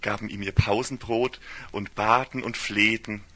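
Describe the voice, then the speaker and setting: A man's voice: lowish voice, fairly even intonation, unhurried, pushed and bright. A man narrating a literary audio story in a solemn, ornate fairy-tale storytelling manner.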